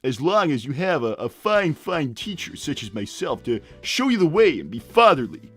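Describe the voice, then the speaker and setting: Casting: man playing cocky middle-aged cartoon hedgehog father, slightly high male voice, very lively pitch, crude and rambling livestream banter. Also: faint music behind. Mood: smug, fatherly. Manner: rambling, halting, blunt, full of tangents. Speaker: character host reading viewer messages. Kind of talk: improvised in-character livestream comedy.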